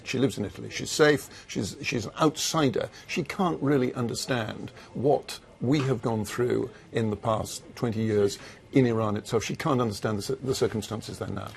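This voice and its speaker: A man's voice: mid-range voice, vocal fry, darkish tone. A man interviewing an author for a news program, asking probing, direct questions.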